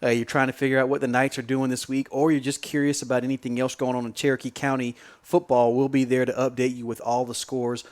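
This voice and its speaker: A man's voice: mid-range voice, level tone, quick pace, few pauses, darkish tone. A man co-hosting a sports podcast, chatting casually in a folksy, colloquial, enthusiastic way. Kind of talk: casual sports chat and analysis.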